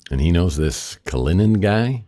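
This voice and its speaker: A man playing a world-weary, wisecracking private detective in a noir radio drama, with a wry deadpan delivery. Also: deep voice, normal speed, big-room sound. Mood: dry, businesslike. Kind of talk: noir radio drama, first-person narration and dialogue.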